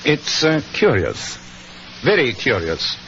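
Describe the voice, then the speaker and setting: An actor playing a cool, self-assured British detective, measured, precise and drily witty, in 1940s radio style. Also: male voice, medium pitch, very animated, bright, forceful tone, exaggerated voice. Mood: musing wry. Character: cool, self-assured male English detective, incisive and wry. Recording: muffled, hissy 1940s radio recording.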